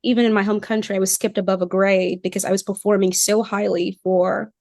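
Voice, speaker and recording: A young woman from Cameroon, a doctoral student talking as a podcast guest in a thoughtful, animated way. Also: mid-range voice, brisk, no echo, studio quality. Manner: reflective, animated, says "like" often. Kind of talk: podcast interview.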